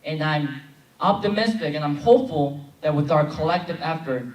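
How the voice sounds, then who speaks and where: A man's voice: fairly high pitch, lively intonation, moderate pace. A young man in his twenties, from South Korea, moderating a convention plenary and leading chants from the stage. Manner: leads call-and-response chants. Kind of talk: convention speech. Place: large convention hall with audience. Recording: echoey hall, distant mic, crowd noise.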